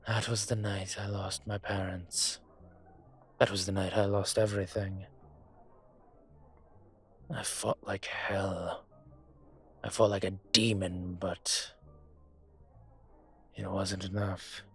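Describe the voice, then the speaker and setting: A man playing a brooding, courteous and vengeful dragon mafia boss in an intimate audio roleplay, speaking in a measured, hesitant way with a husky voice. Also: low voice, level tone, faint music behind, full character voice. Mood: somber, bitter. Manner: measured, hesitant, pausing often. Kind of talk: audio roleplay drama, intimate dinner conversation.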